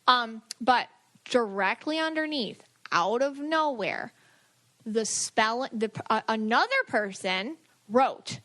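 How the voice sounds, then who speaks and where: A woman's voice: fairly high pitch, animated, takes it slowly, fairly bright tone. A woman hosting a casual podcast as a spiritual medium, telling stories in a chatty, informal way.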